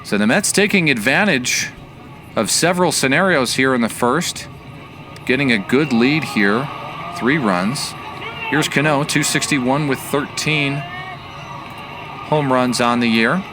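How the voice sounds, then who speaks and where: A man giving baseball play-by-play commentary as the announcer, measured and analytical in his delivery. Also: mid-range voice, music in the background.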